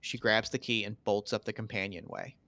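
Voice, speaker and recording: A man's voice: lowish voice, level tone, fairly quick, bright, forceful tone, no room sound. A man reading screenplay stage directions in a dramatic table read.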